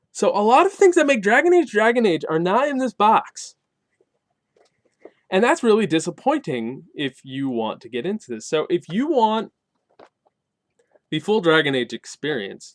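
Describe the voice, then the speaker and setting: A man's voice: high voice, dry recording, clean studio sound. A man reviewing in a casual, unscripted way, with informal wording and emphatic stress on key points. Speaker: game review channel host.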